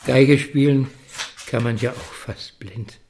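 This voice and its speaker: An actor playing a kindly, grieving old grandfather with failing eyesight in a radio play, speaking gently and haltingly. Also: mid-range voice, fairly even intonation, noisy background. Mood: grateful. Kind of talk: German radio play acting.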